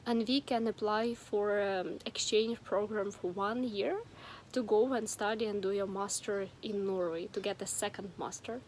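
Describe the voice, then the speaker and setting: A woman's voice: medium pitch, slight background noise. A young woman from Ukraine telling her own story in a casual vlog, chatty, with many fillers and restarts. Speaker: vlogger.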